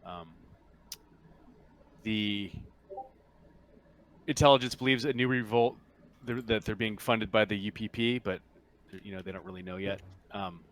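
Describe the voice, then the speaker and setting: A man briefing players as game master of a tabletop roleplaying game, explaining informally and haltingly with many pauses. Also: lowish voice, steady tone, a bit bright.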